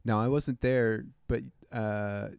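A host asking casual interview questions in a relaxed, loose way, with lots of "um" and "uh" and easy laughter. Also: mid-range voice, takes it slowly, gravelly, smooth, no room sound, studio quality. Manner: relaxed, filler-heavy questions, laughs along.

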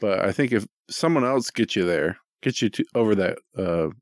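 A man's voice: lowish voice, expressive, moderate pace, no room sound, studio quality. A man co-hosting a podcast with casual, joking banter and an irreverent, profane way of talking. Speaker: podcast co-host reading listener questions.

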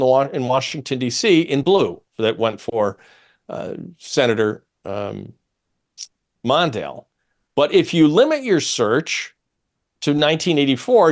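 A middle-aged American man talking as an opinionated radio host, explaining things in an emphatic, pedantic way. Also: mid-range voice. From United States.

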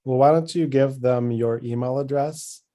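A man co-hosting a podcast in casual chat, calm and supportive, mostly giving short replies. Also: mid-range voice, moderate pace. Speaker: podcast co-host and creative partner.

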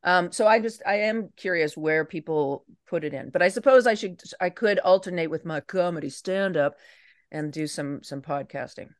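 A woman's voice: lowish voice, moderate pace, no echo, clean studio sound. A woman chatting casually as a podcast guest, playful and inquisitive, often voicing her own thoughts as she goes. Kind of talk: casual chat.

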